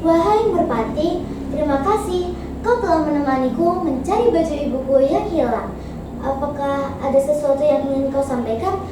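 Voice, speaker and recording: A woman voicing a meek, kind-hearted young girl in a folktale, soft and polite. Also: sits high, moderate pace, heavy reverb, distant mic, background noise, close to an everyday voice. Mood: gentle, grateful.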